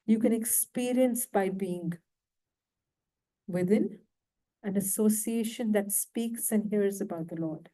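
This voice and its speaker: Slow, soft-toned woman lecturing. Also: mid-range voice, low-quality recording. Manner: slow, asks the audience questions. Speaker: guest lecturer. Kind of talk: devotional lecture. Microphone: handheld mic, failing at times.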